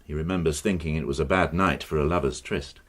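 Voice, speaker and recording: A man playing a formal, methodical English police inspector in a radio crime drama, gentlemanly and quietly reluctant. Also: very deep voice, steady tone, fast talker. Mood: probing, restrained.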